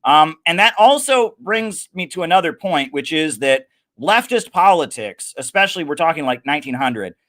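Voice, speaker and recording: Bright, forceful, higher-pitched man explaining. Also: expressive, normal speed. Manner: emphatic, explanatory, repeats phrases. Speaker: podcast guest, political commentator. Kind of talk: off-the-cuff historical and political commentary.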